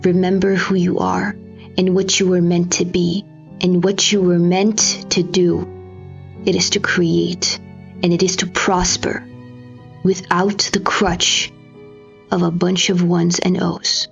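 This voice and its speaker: A woman's voice: fairly low pitch, little pitch movement, creaky. Woman narrator, grave and theatrical, over music. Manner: grave, theatrical, deliberate phrasing. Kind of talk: dramatic scripted story narration. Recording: slightly dull recording with music behind.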